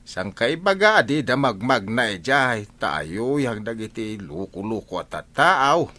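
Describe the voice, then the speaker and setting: A man's voice: medium pitch, big pitch swings, slow, bright, forceful tone, heavily acted. A man with an Ilocano accent acting an exasperated, critical village grandfather in a radio comedy drama. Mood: grumbling.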